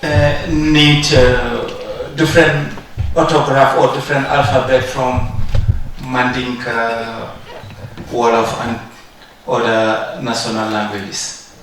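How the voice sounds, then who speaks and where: A man asking a halting question from the audience at a workshop. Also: mid-range voice, little pitch movement, fairly bright tone. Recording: echoey room, distant mic, faint noise.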